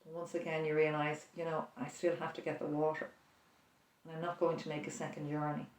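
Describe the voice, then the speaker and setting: A prayer leader talks the listener through a quiet, imagined scene. The pace is slow, with reflective pauses, and the voice stays flat and gentle. It is recorded from a distance in a room with a strong echo. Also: mid-range voice. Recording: roomy echo, distant mic.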